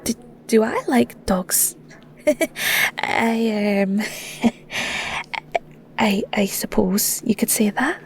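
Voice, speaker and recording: A woman with a Scottish accent playing a shy, flustered young werewolf shepherd in an intimate audio roleplay, stammering awkwardly in a soft, breathy voice. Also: medium pitch, drawn-out words, pauses often. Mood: bashful, playful. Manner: stammering, giggly, awkward, hesitant.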